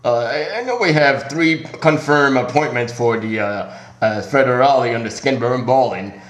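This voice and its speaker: A man making a prank phone call as an airline agent, with polite but halting scripted patter. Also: medium pitch, roomy sound. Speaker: prank caller.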